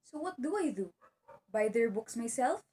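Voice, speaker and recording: An earnest young teacher and dutiful daughter, acted by a woman in an amateur play. Her voice sits fairly high, and she speaks with determination. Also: big pitch swings, moderate pace, dark tone, a little hiss or hum. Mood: pleading. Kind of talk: amateur one-act play acting.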